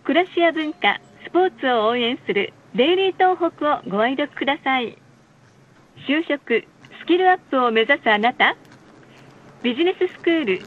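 A woman reading scripted bus stop announcements in a polite, formal, measured way. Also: high-pitched. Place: recorded announcement played inside a bus. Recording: muffled, lo-fi bus speaker recording.

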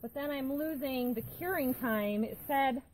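Woman in her 40s, homestead vlogger, chatty and thinking aloud mid-task, slightly high voice, outdoor camera mic with a faint background hum. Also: a little slow, darkish tone, low-quality recording. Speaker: homesteading channel host. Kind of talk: casual talk while working. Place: outdoors by a barn on a farm. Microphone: camera on a tripod nearby.

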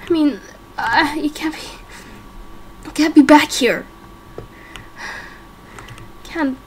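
Woman acting frightened young amnesiac, game roleplay, high voice, hesitant fragments, slow. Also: a little hiss or hum. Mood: anxious, confused. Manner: halting, fragmented, self-questioning. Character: confused, frightened young amnesiac part-creature, vowing peace.

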